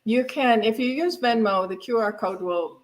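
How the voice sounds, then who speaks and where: A woman's voice: on the high side, normal speed. A woman minister speaking informally at a spiritual center service, in a conversational, rambling way that often corrects itself. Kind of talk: informal church talk on giving.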